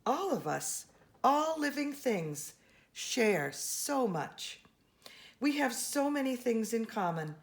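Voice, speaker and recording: A woman reading a children's picture book aloud, expressively and with deliberate emphasis on key words. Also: a little high, big pitch swings. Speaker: storybook reader.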